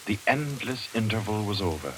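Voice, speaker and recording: An actor narrating a 1940s radio thriller as a solemn, measured storyteller building suspense. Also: male voice, lowish voice, very animated, a bit bright, slight background noise, rough recording. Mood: ominous. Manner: measured, grave, dramatic. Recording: old radio recording with music behind.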